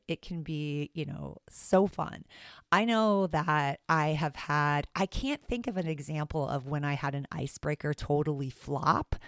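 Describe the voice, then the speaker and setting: A woman with a raspy voice hosting a casual solo podcast for teachers, chatty and self-deprecating. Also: lowish voice, no room sound, studio quality.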